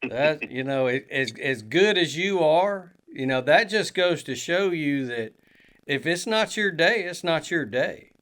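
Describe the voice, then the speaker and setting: A man's voice: a little high, animated, a little slow, fairly bright tone. A man, co-hosting a podcast in casual chat, rambling with many fillers and restarts. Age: 20s. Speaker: podcast co-host.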